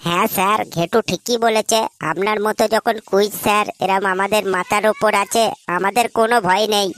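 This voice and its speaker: A woman's voice: medium pitch, fairly even intonation, brisk, fairly bright tone, lightly acted. A woman voicing an eager, cheeky young school pupil in a comedy cartoon, earnest and keen to answer. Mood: excited.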